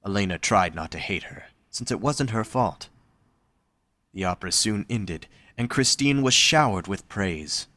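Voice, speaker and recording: Male narrator for scripted fiction. Low-pitched, dry recording. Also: animated, a little slow, sharp tone, no echo.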